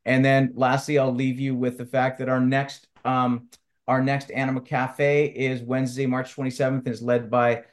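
A man's voice: mid-range voice, little pitch movement, moderate pace. A man hosting a webinar, speaking conversationally as he makes announcements to the participants. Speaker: webinar host. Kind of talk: conversational announcements, closing a session.

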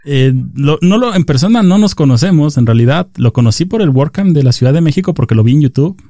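A man's voice: fairly high pitch, lively intonation, quick pace, warm tone, no echo, clean studio sound. A man hosting a casual interview, chatty and explaining things to his listeners. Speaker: podcast host.

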